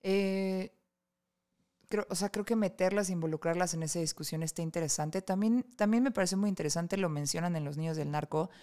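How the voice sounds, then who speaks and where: A woman hosts a casual interview podcast, asking open, curious questions. Her voice is low for a woman, with a smooth and clear tone. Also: a little fast, warm tone. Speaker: podcast host.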